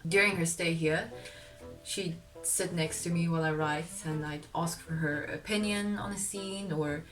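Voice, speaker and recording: A woman's voice: on the low side, fairly bright tone, rough recording. A young woman whose first language is Afrikaans, telling her own story in a candid, self-deprecating vlog and laughing partway through sentences. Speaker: vlogger. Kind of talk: confessional vlog narration. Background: faint music.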